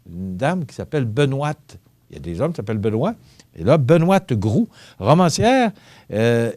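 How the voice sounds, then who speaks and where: A man with a Québécois French accent hosting a local TV morning show, reading birthdays and interviewing a guest in a store kitchen. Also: medium pitch. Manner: lively, stumbles over names and jokes about it.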